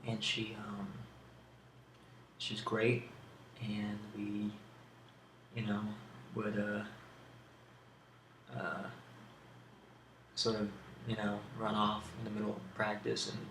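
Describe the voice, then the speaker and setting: A soft-spoken man answering questions in a casual ASMR style, with a hesitant, musing delivery and pauses between thoughts. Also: lowish voice, monotone. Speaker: ASMR video creator answering a question tag. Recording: roomy echo with faint background hiss.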